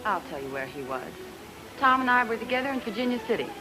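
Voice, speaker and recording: Upset, defiant young woman, rapid, emotional, swooping pitch, vintage radio melodrama. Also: big pitch swings, rough recording. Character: distressed, defiant young woman defending her lover. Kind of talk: old-time radio melodrama acting. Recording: old radio recording with music.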